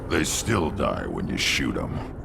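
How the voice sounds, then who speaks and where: An actor playing a huge, blunt young alien warrior with a gruff, growling voice in a video game. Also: male voice, very deep voice, sing-song, takes it slowly, background noise, full character voice. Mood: blunt, determined. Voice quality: gravelly, growling.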